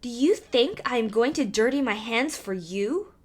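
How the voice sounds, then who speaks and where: A woman's voice: a little high, animated, normal speed, slightly rough. A woman voicing a lazy, haughty fairy-tale girl with a scornful, dismissive manner.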